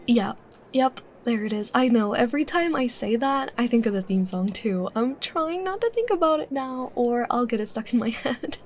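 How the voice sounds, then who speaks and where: A woman playing a bubbly, teasing young woman chatting casually with her secret crush in a roleplay, expressive and playful. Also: on the high side, normal speed, a little hiss or hum. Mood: cheerful, friendly. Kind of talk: roleplay ASMR, acted casual chat.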